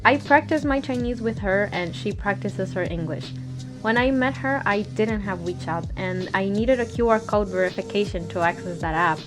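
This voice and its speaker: A young woman from Peru, a Spanish speaker, telling a scripted storytime in an earnest, gently self-mocking way. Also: medium pitch, moderate pace. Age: young adult. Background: music bed.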